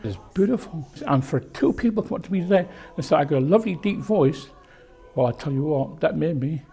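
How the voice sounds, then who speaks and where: A man's voice: on the high side, lively intonation, fairly quick, creaky, mellow. A man talking with enthusiasm about singing, and he slips into a singsong delivery at times. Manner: enthusiastic, lilting, half-singing phrases. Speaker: singing group participant. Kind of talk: interview testimony. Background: music playing.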